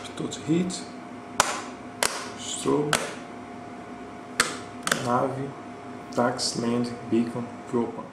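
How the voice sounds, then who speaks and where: A man calmly and methodically walking through his home flight simulator, recorded on a camera in a small room. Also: medium pitch, extremely slow, long pauses. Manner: calm, methodical, pointing things out. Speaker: flight sim hobbyist. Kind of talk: casual show-and-tell narration. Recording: roomy, with loud fan and engine noise.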